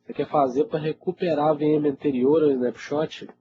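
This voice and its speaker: A man's voice: fairly high pitch, fairly even intonation, fairly quick. A Brazilian man with a cold, teaching IT and answering questions in an informal, conversational webinar style, often checking in with his listeners. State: congested with a cold. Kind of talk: casual live webinar Q&A. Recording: dull lo-fi webinar audio, loud noise.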